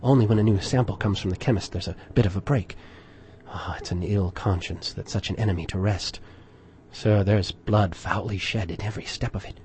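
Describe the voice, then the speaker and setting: A man's voice: on the low side, fairly quick, a little mellow, slight background noise, lightly acted. A man voicing an anxious, superstitious butler in a dramatic story reading, deferential and full of dread. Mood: dread, urgent.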